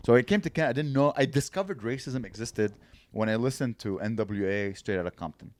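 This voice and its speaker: A man's voice: medium pitch, fast talker, no echo. A man from Lebanon, a comedian guest on a podcast, telling stories in casual banter and breaking into mocking impressions. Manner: animated, mocking impressions and bursts of laughter.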